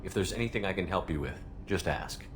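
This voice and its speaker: Deep-voiced, brisk commander. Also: male voice, expressive, background noise. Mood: earnest, supportive. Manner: direct, plainspoken, inquisitive. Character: human male military commander, steady, supportive, direct. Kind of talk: video game dialogue acting.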